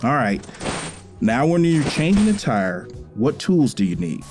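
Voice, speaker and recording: A man's voice: medium pitch, big pitch swings, a little slow, darkish tone, soft background music. An actor playing a firm, loving middle-aged father in a naturalistic drama, speaking in a plain, instructive way. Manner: instructive, plain-spoken, colloquial. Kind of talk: naturalistic screen drama acting.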